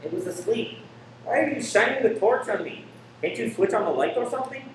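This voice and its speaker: A man playing an evasive, sleepy cinema-running husband in a radio play, halting and dismissive. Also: very high voice, expressive, recorded from a distance. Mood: sleepy, irritable. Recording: very echoey room, off mic, background noise.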